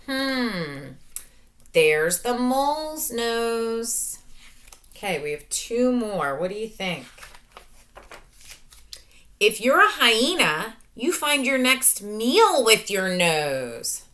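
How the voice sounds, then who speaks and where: A woman teacher reading a picture book aloud to young children, talking through each step with expressive, sing-song questions. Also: on the high side, very slow, long pauses. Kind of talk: children's read-aloud lesson.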